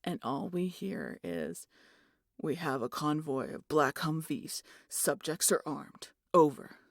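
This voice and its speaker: A woman acting a rowdy, muscular aunt in an audio roleplay. The voice is on the low side and closely recorded with a dry sound, swaggering and teasing as it spins tales. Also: slow, gravelly, dry recording, exaggerated voice. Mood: suspenseful. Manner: swaggering, foul-mouthed, animated storyteller. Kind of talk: ASMR roleplay audio drama.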